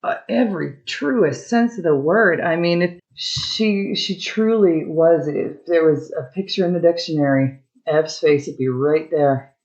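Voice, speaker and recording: A woman's voice: low voice, unhurried, darkish tone. A woman podcast guest telling personal stories conversationally, in a candid, emphatic way with colourful colloquial phrasing, on slightly dull audio.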